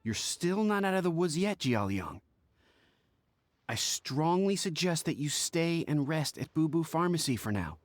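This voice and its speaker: An actor voicing a polite, formal young apprentice pharmacist in a video game. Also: male voice, fairly high pitch, big pitch swings, measured pace, dry recording.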